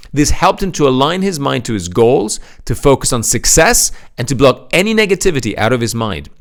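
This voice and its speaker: Lively, emphatic male motivational speaker. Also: fairly high pitch. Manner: emphatic, rising and falling for effect. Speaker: speaker presenting to an audience. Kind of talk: motivational sales talk.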